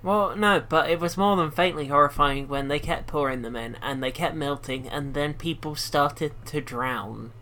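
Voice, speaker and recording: A man's voice: fairly high pitch, moderate pace, few pauses, pushed and bright, slight background noise. A man co-hosting a casual games podcast who steers the conversation along. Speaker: podcast co-host.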